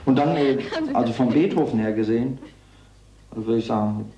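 A man's voice: medium pitch, moderate pace, highs cut off, a crowd in the background. A man commenting spontaneously and humorously on music, imitating it with syllables here and there. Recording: echoey room.

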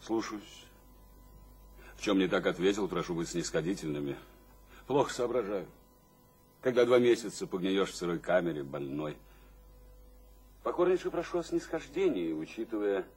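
A very raspy fallen tsarist general. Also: male voice, fairly low pitch, expressive, normal speed, long pauses, a little mellow. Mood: obsequious. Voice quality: husky, raspy. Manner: ironic, florid old-regime courtesy. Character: imprisoned tsarist gendarme general, sardonic, servile, world-weary. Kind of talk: theatrical radio drama acting.

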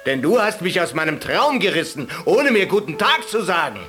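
A man voicing a poor Bedouin shepherd in a radio-play fable, insolent, loud, scornful and fearless. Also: high-pitched, big pitch swings, moderate pace, faint music behind, voice pushed up. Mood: scornful, outraged. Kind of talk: storytelling within a radio play.

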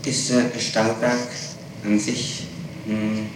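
A male interrogator with a fairly low voice puts formal, probing questions and pedantically corrects the answers. He is recorded at a distance on a noisy 1960s tape. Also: level tone, drawn-out words, heavy reverb. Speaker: police interrogator. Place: reverberant room, far from microphone.

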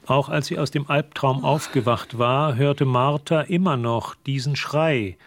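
A man as the narrator of a radio drama, reading literary prose in a measured, matter-of-fact way. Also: medium pitch, dry recording, close to an everyday voice. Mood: sober, measured. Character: sober male storyteller narrating a mystery.

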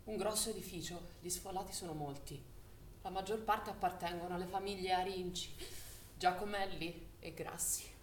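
A woman's voice: fairly low pitch, steady tone, normal speed. A woman narrating a scripted war drama as a stage actor, telling the events dramatically. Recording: echoey distant stage recording, faint noise.

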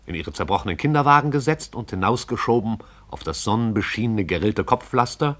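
A man narrating a radio play in a measured, poetic storytelling manner. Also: on the low side, lively intonation, a bit bright.

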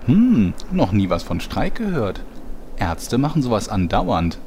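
A man acts a disgruntled storyteller, aggrieved and dry. His voice is fairly low. It sounds like a parody radio play, with slight noise in the background. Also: very animated, unhurried, a little mellow. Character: disgruntled male storyteller on strike, sulky and demanding. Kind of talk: audio drama parody.

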